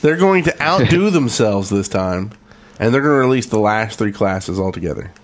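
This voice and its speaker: Lowish-voiced man, casual podcast co-host. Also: animated, moderate pace. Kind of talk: casual gaming podcast chat.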